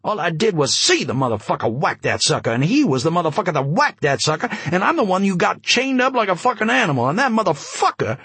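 A man acts out an outraged male witness in an audiobook, chained to a desk and hollering his grievances in a high, strained voice. The voice is gravelly and husky, recorded dry and close. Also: very animated, fast talker, few pauses, dry recording, heavily acted. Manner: yelling, indignant. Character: angry chained male witness, loud and profane.

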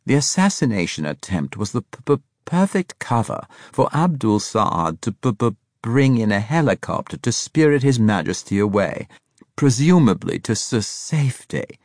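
A man's voice: lowish voice, big pitch swings, moderate pace, a little mellow, no echo, studio quality, voice pushed up. A man voicing a cheerful, flamboyant older spy who stammers on consonants and talks in an airy, condescending way. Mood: gleeful. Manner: airy, arch, condescending, effusive.

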